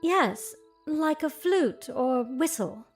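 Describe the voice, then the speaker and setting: An actress playing an uneasy young bride-to-be in an audio drama, speaking in a formal, theatrical way. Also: female voice, sits high, animated, slow pace, soft background music, full character voice. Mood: uneasy, wondering.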